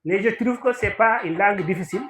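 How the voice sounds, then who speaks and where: A man with a Senegalese accent giving a formal thank-you speech at a school awards ceremony, with halting, deliberate phrasing. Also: high voice, a bit bright. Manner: halting, deliberate delivery with pauses. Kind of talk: formal ceremony speech mixing French and Wolof.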